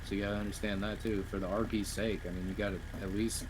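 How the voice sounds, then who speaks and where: Guy arguing in game voice chat, real fast, lowish voice, pushy, bit of background noise. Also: level tone. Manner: insistent, repetitive, swears. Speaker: roleplay gamer, faction leader. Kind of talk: casual argumentative voice chat during game roleplay. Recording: noisy game voice chat, vehicle sounds.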